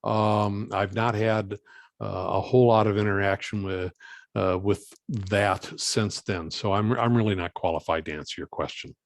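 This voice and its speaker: A man speaking as a guest presenter, answering questions informally in a plain-spoken, halting, step-by-step way. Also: lowish voice, fairly even intonation, no room sound, clean studio sound. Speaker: guest presenter and volunteer coordinator.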